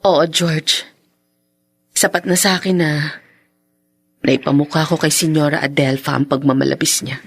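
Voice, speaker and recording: A woman's voice: deep voice, steady tone, measured pace, creaky, long pauses, slightly rough, no room sound, soft background music, exaggerated voice. An actress playing a wronged, emotional young woman in a Filipino radio drama. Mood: subdued, resolved. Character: wronged young woman, bitter yet grateful, a former bar worker.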